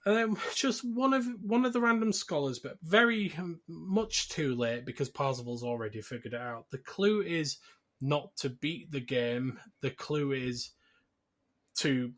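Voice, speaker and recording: A man's voice: a little high, animated, unhurried, a bit bright. Man casually recapping a film. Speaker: podcast co-host.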